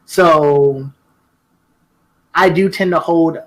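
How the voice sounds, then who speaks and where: Guest, 20s, band teacher; mid-pitch, earnest, thoughtful, playful teasing; video call. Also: very slow, poor audio. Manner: earnest and thoughtful, teasing, frequent "you know". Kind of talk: casual chat.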